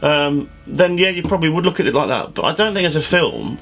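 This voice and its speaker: A British man co-hosting a casual chat, speaking in rambling, halting sentences. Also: on the high side, big pitch swings, a bit bright. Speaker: podcast co-host.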